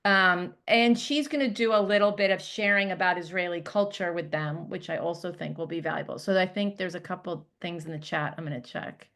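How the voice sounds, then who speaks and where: A woman's voice: fairly low pitch, a little fast, few pauses, a bit bright. A woman presenting a school update on a video call, chatty and upbeat, with many fillers and restarts. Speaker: religious school director.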